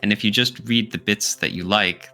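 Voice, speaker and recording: A man co-hosting a podcast, chatting casually and informally about his opinions with animated reactions. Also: fairly low pitch, normal speed, soft background music. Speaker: podcast co-host.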